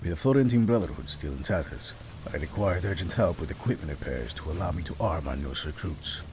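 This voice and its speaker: A man playing a seasoned, proud and loyal Italian assassin in an audio drama, measured, dropping Italian words into his talk. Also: deep voice, expressive, darkish tone. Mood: grave, proud. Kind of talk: scripted audio drama dialogue. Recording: music behind the voice.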